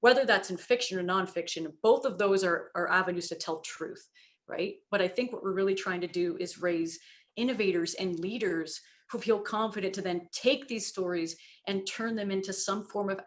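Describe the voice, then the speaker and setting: Earnest low-voiced woman panelist. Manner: earnest, reflective, many "you know" fillers. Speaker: children's book creator on a panel. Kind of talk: panel discussion.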